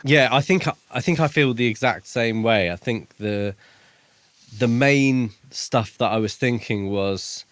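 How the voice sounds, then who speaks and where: A man's voice: medium pitch, unhurried, a bit bright, no room sound. A man co-hosting a casual book podcast, thinking aloud hesitantly as he talks. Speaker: podcast co-host.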